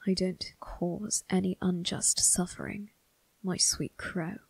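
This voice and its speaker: A woman performs a young male vampire crime boss for an ASMR roleplay, cold and brooding yet protective. The voice is low and gravelly, with very slow, formal, menacing phrasing that sinks into breathy whispers, recorded close and dry. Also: little pitch movement, darkish tone, no echo, heavily acted. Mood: cold, menacing, bitter. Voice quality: raspy, breathy, dropping into whispers. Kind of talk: ASMR roleplay, dramatic monologue addressed to listener.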